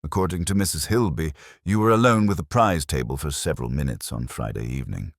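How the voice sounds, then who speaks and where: Police sergeant sidekick, male reader acting: matter-of-fact, methodical, earnest, low and a bit husky. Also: quick pace, no room sound, clean studio sound, heavily acted.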